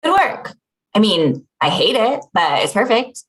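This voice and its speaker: A woman's voice: mid-range voice, big pitch swings, unhurried, fairly bright tone. A woman playing a concerned, conciliatory office worker in her forties in a script read. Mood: wry. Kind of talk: screenplay table read.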